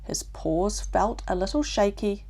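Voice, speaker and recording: A woman with a New Zealand accent narrating a calm bedtime story for children, gently and with deliberate pauses. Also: medium pitch.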